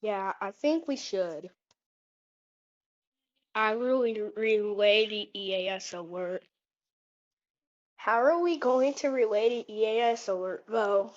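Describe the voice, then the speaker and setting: A synthetic female voice speaking scripted dialogue in a stilted, deliberate way. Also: medium pitch, lively intonation. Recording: dull lo-fi audio.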